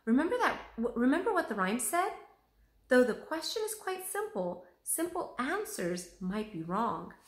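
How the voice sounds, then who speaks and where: A woman's voice: high-pitched, big pitch swings, normal speed, pitched above the performer's own voice. A woman voicing an earnest, cautious, polite young boy in a children's storybook. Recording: roomy echo.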